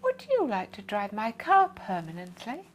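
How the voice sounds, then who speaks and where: A woman's voice: mid-range voice, wildly swooping pitch, normal speed. A woman voicing a flustered, well-to-do older lady in a children's story, gushing, polite and eager. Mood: grateful, gracious.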